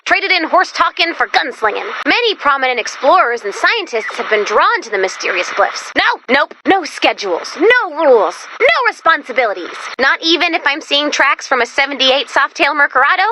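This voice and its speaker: High, bright, excitable robot gal. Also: female voice, moderate pace, hardly any pauses, a little hiss or hum, full character voice, rough recording. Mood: theatrical, narrating. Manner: expressive, quirky, earnest, theatrically enthusiastic. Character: perky, nerdy, excitable female robot guide companion. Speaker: video game character. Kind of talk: animated game voice acting, theatrical line delivery.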